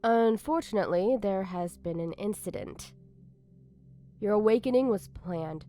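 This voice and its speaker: An actress playing a calm, authoritative handler of angels in a sci-fi visual novel, speaking in a grave, deliberate way. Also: female voice, medium pitch, close to an everyday voice.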